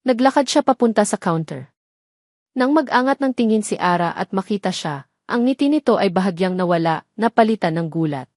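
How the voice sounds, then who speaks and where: A woman's voice: mid-range voice, animated, brisk, a little mellow, no echo. Woman narrating a romance, close dry sound. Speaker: storyteller. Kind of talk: scripted dramatic audio story narration.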